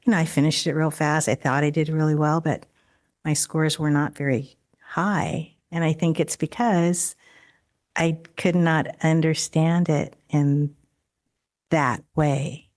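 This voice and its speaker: Low-voiced trustee woman, halting. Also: fairly even intonation, a little mellow. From Daly City, California. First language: not English. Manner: halting, many fillers and restarts. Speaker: school board trustee. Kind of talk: board meeting remarks. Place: district office board room.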